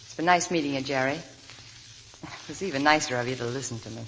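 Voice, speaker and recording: An actress playing a wounded, weary young wife of a convicted killer, in 1940s radio drama style. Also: female voice, a bass voice, fast, slight background noise, poor audio. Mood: wistful. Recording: vintage radio broadcast, music underneath.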